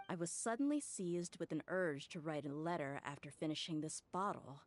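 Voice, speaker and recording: A tough desert mercenary woman in an anime game. The voice sits a little low, with a frank, wry, informal delivery and a good heart underneath. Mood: reassuring.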